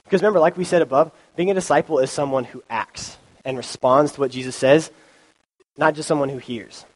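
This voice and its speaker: A young man gives a relaxed youth sermon into a microphone, a little stuffy from a cold. His delivery is informal and conversational, full of fillers and asides. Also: fairly high pitch, a little fast, rough recording. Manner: informal, chatty, many ums and likes. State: has a cold, sniffly. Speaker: youth pastor. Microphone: handheld or stand mic.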